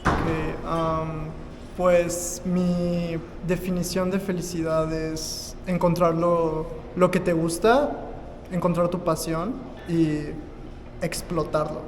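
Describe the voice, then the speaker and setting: Guy talking slow and even, pretty flat tone, echoey and noisy. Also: fairly high pitch. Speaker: interviewee. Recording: reverberant, noisy outdoor audio.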